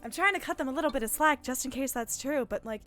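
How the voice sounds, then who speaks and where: A woman's voice: sits high, quick pace, a bit bright, faint music behind. A woman co-hosting a game playthrough with casual, emphatic, exasperated commentary.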